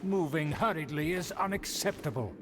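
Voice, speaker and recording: A man's voice: a little high, expressive, over music, natural voice. Man playing a pompous young aristocrat. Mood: haughty. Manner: pompous, formal, drawn-out. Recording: game audio with clear noise.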